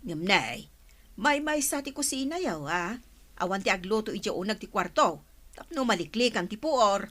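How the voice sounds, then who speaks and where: A woman's voice: medium pitch, expressive, normal speed, fairly bright tone. A woman playing a watchful, scolding boarding-house landlady in an audio drama. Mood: chiding. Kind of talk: dialogue in an amateur audio drama.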